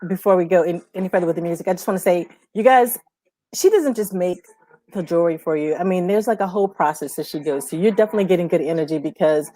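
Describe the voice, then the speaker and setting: A woman's voice: fairly low pitch, quick pace, warm tone, no echo, rough recording. Enthusiastic woman chatting about music. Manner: enthusiastic, emphatic, slangy. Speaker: co-host of a music talk show. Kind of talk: casual, unscripted chat.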